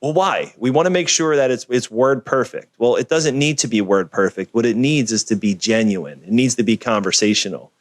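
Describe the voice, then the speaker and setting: A man's voice: mid-range voice, a little fast, few pauses, no room sound. Man, American, interview guest on a video call; chatty storyteller, dry close sound. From United States. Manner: animated storyteller, frequent "right?" tags. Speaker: guest, business video producer. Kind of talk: conversational interview.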